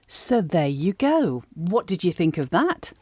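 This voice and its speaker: A female podcast interviewer with a slightly low voice. She puts her questions conversationally, as the host of the show. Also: very animated, unhurried, dark tone.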